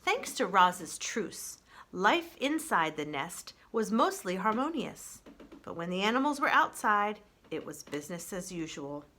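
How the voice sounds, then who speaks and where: A woman reading children's storybook narration aloud with deliberate, expressive phrasing. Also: medium pitch.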